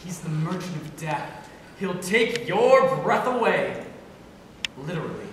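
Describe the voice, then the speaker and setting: A young man acts out a showy late-night radio host, gleeful and teasing, in a high-pitched voice. His theatrical announcer delivery is heard from far off in a very echoey hall, with clear noise behind it. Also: big pitch swings, a little slow, fairly bright tone, exaggerated voice. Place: stage in a hall, distant microphone.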